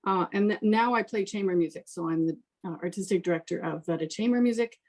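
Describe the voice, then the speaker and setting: A woman's voice: mid-range voice, fast, darkish tone. An older woman casually introducing herself as a guest in a conversation, speaking as a professional musician. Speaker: interview guest, professional violinist.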